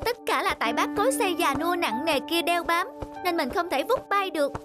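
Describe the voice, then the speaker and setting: Super high kiddie cartoon windmill fan, naive n restless, music behind. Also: expressive, fairly quick. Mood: longing. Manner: childish, earnest. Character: naive restless childlike little windmill fan longing for freedom. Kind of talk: cartoon acting.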